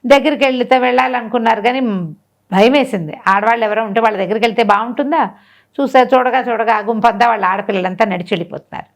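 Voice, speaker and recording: A woman storyteller with a slightly high voice. She retells a folk tale as if chatting, putting questions to the listener and acting out what the characters say. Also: fast. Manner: animated retelling with rhetorical questions and acted-out dialogue.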